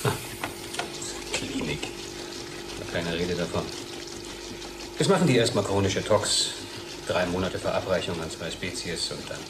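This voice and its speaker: A proud, touchy lab chemist in a radio drama. His male voice sits very low. There is clear noise around him. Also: animated, a little slow, long pauses. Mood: weary. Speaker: laboratory chemist character. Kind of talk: German radio drama acting.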